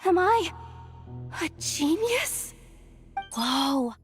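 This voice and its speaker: A woman's voice: very high-pitched, sing-song, very slow, over music, exaggerated voice. A young woman playing a plucky, frazzled, determined technician in a video game, talking herself through problems in hesitant starts and outbursts. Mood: giddy, determined. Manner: hesitant then bursting out, self-talking.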